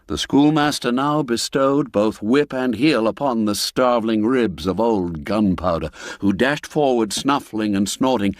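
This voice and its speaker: A man narrating a classic gothic tale in a measured, suspenseful, formal literary manner. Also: medium pitch, no room sound, clean studio sound. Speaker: audiobook narrator.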